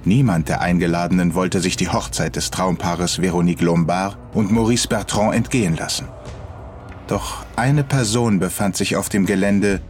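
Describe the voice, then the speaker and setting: A man's voice: deep voice, close to an everyday voice. A man narrating an audio drama in a scripted storytelling style. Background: faint music.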